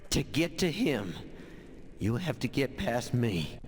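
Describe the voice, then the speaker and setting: A man's voice: medium pitch, sing-song, slow, a little hiss or hum. A man playing a remorseful, religious younger brother in a Western game, speaking solemnly and earnestly. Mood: grave resolve. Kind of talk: dramatic game narration and dialogue. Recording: long reverb with music behind.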